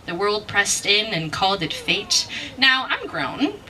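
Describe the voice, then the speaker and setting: A woman's voice: medium pitch, big pitch swings, unhurried, bright, forceful tone. A woman performing spoken word poetry with a rhythmic, emphatic, dramatic delivery. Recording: distant mic with faint background noise.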